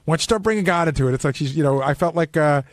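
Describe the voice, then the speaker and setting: A man's voice: fairly high pitch, rapid-fire, dry recording, clean studio sound. A man chatting casually and bluntly on a radio panel, swearing freely as he gives his opinions. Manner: blunt, profane, conversational. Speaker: radio show panelist and staffer. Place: radio studio.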